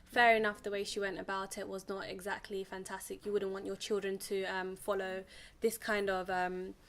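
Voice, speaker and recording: A young woman talks steadily in a flat, even voice, pausing often on ums as she thinks. A faint background hum sits under the recording. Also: mid-range voice. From England. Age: young adult. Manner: even, measured delivery with frequent ums. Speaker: guest. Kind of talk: casual studio interview.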